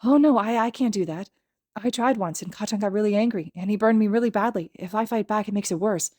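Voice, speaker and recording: A woman's voice: mid-range voice, quick pace, darkish tone, dry recording, studio quality, pitched above the performer's own voice, close to an everyday voice. A woman voicing a timid, anxious, bullied schoolboy with a hesitant stammer in an audiobook-style story.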